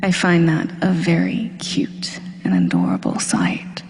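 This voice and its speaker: A woman performing an intimate, commanding hypnosis roleplay monologue, teasing and deliberate, often dipping toward a breathy near-whisper. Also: low voice, big pitch swings, darkish tone. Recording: long reverberant hall-like echo.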